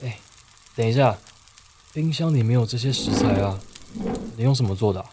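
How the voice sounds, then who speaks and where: An actor playing a sensitive, unsettled man around forty in a radio drama, speaking soft, introspective Taiwanese Mandarin. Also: mid-range voice, fairly quick, heavy background noise, lightly acted. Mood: casual, polite. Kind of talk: radio drama narration and dialogue. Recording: roomy echo, music behind.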